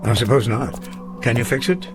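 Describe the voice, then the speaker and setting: A man's voice: medium pitch, moderate pace, over music, close to an everyday voice, rough recording. An older man acting in a radio drama as a weary old professor full of regret, speaking in a formal, literary way. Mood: gentle, resigned. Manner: formal, literary, reflective speech.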